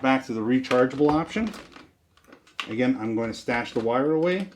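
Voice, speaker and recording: A man's voice: medium pitch, animated, normal speed, slight background noise. A man giving a casual product demonstration, explaining step by step in a conversational, folksy way.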